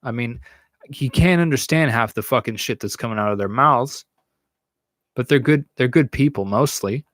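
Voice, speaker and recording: A young man from the States hosts his own podcast stream and answers viewers off the cuff. He talks in a crude, teasing, swear-heavy way at a middle pitch. His voice comes through a stand microphone in a small room, close and dry with almost no room sound. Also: normal speed, fairly bright tone, no echo. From United States. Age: young adult. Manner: crude, joking, swears a lot. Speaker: podcast host answering chat. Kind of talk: casual livestream chat. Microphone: studio mic on a stand in front.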